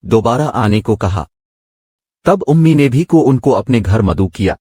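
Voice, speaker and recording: A man's voice: medium pitch, lively intonation, measured pace, mellow, breathy edge, dry recording, clean studio sound. A man reading a scripted moral story as its narrator.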